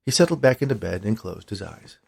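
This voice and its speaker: A male storyteller narrates a comic spooky tale. His voice sits fairly low, with heavy gravel and a husky grain, and his reading moves animatedly up and down. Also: animated, fairly quick, a little mellow.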